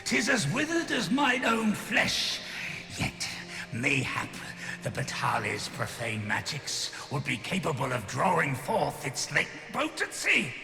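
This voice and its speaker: Gravelly, echoing ancient undead king. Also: a little high, wildly swooping pitch, bright, forceful tone, heavily acted. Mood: solemn. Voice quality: gravelly, breathy whisper. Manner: archaic, theatrical, slow and portentous. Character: ancient withered undead king, bitter, grandiose, brooding. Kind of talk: fantasy video game acting. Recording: cavernous long reverb, music behind.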